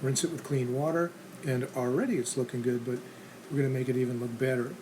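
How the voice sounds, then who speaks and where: A man's voice: medium pitch, steady tone, fast talker. A man giving casual, practical how-to narration as the host of a restoration channel, in a plain instructional manner. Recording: clear background noise and ambience.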